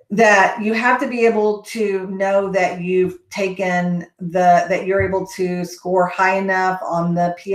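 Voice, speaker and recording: A woman with a Texas accent hosting a casual question-and-answer session on college admissions, rambling with frequent ums and restarts. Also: medium pitch. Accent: Texan. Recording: echoey room.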